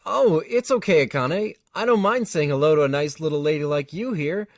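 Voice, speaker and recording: A woman's voice: sits low, animated, fairly quick, no room sound, studio quality. A woman playing a friendly, easygoing young male student, mild and affable, in an audio drama. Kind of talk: fan audio drama acting.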